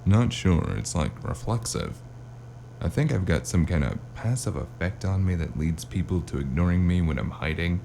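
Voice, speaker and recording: A man plays a hard-boiled noir detective with an extremely deep, gravel-edged voice and a dark tone. He tells his story with wry weariness, as in an audio drama, over a faint hum. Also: animated, moderate pace. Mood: sheepish, casual. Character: hard-boiled male cyberpunk noir investigator with magic, wry and weary. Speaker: narrator and lead character.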